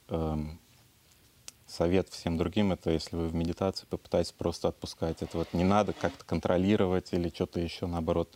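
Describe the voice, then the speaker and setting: Deep, flat male voice, halting testimony from a retreat participant. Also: no echo. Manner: halting, searching for words, many fillers. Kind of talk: personal testimony.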